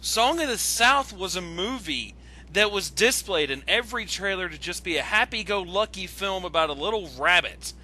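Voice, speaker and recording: An excitable man with a very bright, piercing voice talks casually on a voice chat. His pitch sits high and moves around a lot. He sounds exasperated, stammering and swearing as he gets worked up. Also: normal speed. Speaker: guest commentator on an online video. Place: online voice call.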